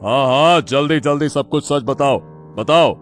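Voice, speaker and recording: A man playing an agitated, commanding king in a cartoon story, speaking in a loud, urgent, imperious way. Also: mid-range voice, measured pace, faint music behind, lightly acted. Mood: impatient.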